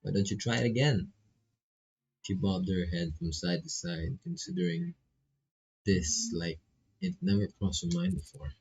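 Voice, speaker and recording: A man's voice: on the low side, big pitch swings, a little slow, vocal fry, pauses often, darkish tone, lo-fi sound, slight background noise. A man doing laid-back, casual live commentary on a visual novel, reading text aloud and swearing freely as he reacts.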